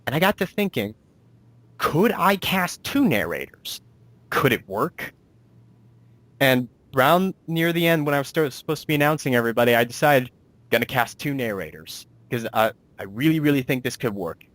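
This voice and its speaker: A man's voice: mid-range voice, lively intonation, normal speed, a bit bright, no echo. A man hosting a casual interview as a director, explaining things in a rambling way and restating himself. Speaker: interviewer and director of an amateur audio drama.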